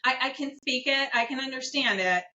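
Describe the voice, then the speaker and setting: Middle-aged woman guest with Korean as her first language, bright and forward, thoughtful, filler-heavy; muffled lo-fi audio. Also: sits high, brisk. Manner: warm, reflective, many fillers. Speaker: guest, business owner. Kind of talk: casual interview conversation. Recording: dull lo-fi audio.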